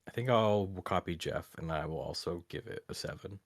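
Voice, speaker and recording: Casting: young male podcast co-host, very low voice, dry close sound, loose rambling delivery. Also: a little fast, no room sound. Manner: rambling, heavy on "like" fillers. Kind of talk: casual group reaction chat.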